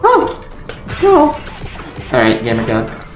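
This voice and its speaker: A man's voice: medium pitch, huge pitch swings, slow pace. A young man chatting on a live game stream, rambling, distracted and poking fun at himself. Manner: rambling, self-mocking, easily distracted. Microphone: home streaming mic. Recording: echoey room with game music.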